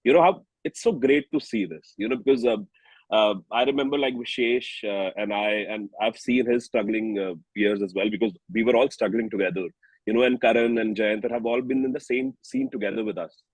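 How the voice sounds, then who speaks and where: A man's voice: fairly low pitch, fairly quick, a little mellow, breathy edge, no room sound, poor audio. A young man with an Indian accent, chatting earnestly and reflectively as an interview guest, often saying "you know". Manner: earnest, reflective, peppered with 'you know'. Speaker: interview guest, singer-songwriter. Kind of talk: casual interview chat.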